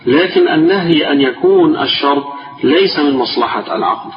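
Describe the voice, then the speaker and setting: A man with an Egyptian accent teaching a religious lesson. His voice is tired and strained. He reads a text slowly, then explains it in colloquial speech and repeats the key phrases. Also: on the high side. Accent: Egyptian Arabic. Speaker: sheikh teaching a class. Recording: distant, echoey, dull-sounding lecture recording with music.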